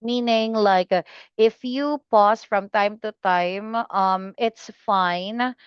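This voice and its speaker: Woman tutor, patient feedback, filler words, slow pace, slightly dull lo-fi sound. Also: mid-range voice, clean tone, no room sound. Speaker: IELTS speaking tutor. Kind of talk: giving spoken feedback to students.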